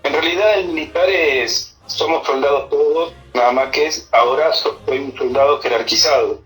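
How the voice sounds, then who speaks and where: A middle-aged man with an Argentine accent answers interview questions informally, recalling his life in a halting way with repeated words. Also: fairly high pitch, fairly bright tone. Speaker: army senior non-commissioned officer being interviewed. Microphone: telephone. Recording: phone call interview with music.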